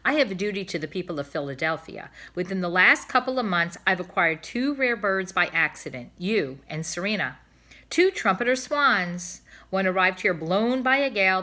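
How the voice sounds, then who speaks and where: A woman's voice: on the low side, lively intonation, a little fast, bright, forceful tone. A woman voicing a kindly but firm male zoo bird keeper in a storybook, speaking emphatically and persuasively. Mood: firm, insistent.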